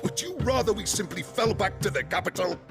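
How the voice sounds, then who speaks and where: Game role: sneering male officer, lightly high pitch, argumentative, indignant, music underneath. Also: lively intonation, fairly quick, gravelly. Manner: sarcastic, combative. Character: indignant, sneering military commander. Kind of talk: dramatic video game cutscene acting.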